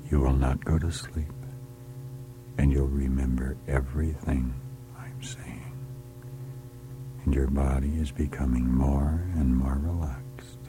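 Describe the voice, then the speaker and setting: Very deep, slow, hushed male guide. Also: fairly even intonation, background noise. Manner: soft, hushed, often sliding into a whisper; slow, soothing, repetitive commands. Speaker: relaxation guide. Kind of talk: guided hypnotic relaxation. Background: music.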